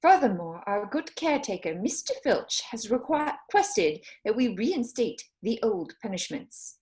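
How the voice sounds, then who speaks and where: A woman's voice: a little high, very animated, unhurried, darkish tone. A woman playing a smug, officious middle-aged headmistress in a script read, prim and formal. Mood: smug, official. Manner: prim, formal, self-satisfied. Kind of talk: table read of a film script.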